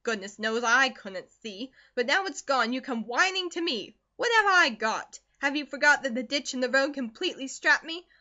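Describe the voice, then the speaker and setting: A woman reading aloud and voicing a harsh, scolding middle-aged farm mother who speaks sharply and loudly, in a dull lo-fi recording. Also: on the high side, lively intonation, normal speed.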